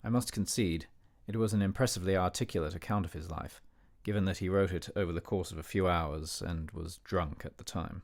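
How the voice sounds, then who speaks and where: An actor plays an older, educated gentleman narrating a period radio drama in a low voice. He is measured and formal, with a dignified, censorious air. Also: steady tone. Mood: disdainful. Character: disapproving, educated older man narrating a memoir.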